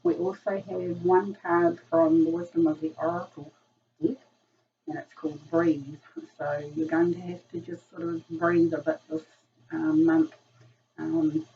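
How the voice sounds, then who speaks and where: A woman with a croaky voice from a virus, chatting casually through a tarot reading, full of ums. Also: low voice. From New Zealand. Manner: chatty, filler-heavy, frequent ums. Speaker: tarot reader. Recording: distant lo-fi room mic, faint noise.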